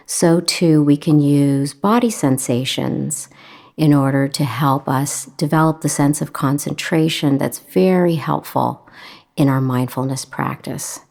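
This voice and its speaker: A woman with a low voice leads a guided meditation. She speaks very slowly and gently, leaving long pauses between phrases. Her instruction is calm and measured throughout.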